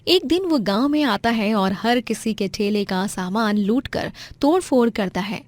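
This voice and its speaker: A woman's voice: on the high side, lively intonation, unhurried, no room sound. A woman narrating a scripted moral story in a dramatic storytelling style.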